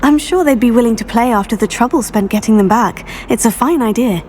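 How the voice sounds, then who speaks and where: A fairly high, composed British young woman's voice from an actress as a helpful student witch in a video game. She is measured and kindly, with faint noise behind her. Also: darkish tone, lightly acted. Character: calm, helpful teenage witch, new student heroine. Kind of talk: video game dialogue acting. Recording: faint background ambient noise.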